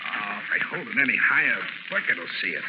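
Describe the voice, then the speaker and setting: An actor playing a tough, jumpy male convict, rough and slangy, in an old radio thriller. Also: lowish voice, quick pace. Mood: impatient. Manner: rough slangy, blustering, excitable. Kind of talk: 1930s radio drama acting. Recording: muffled, very noisy vintage radio recording.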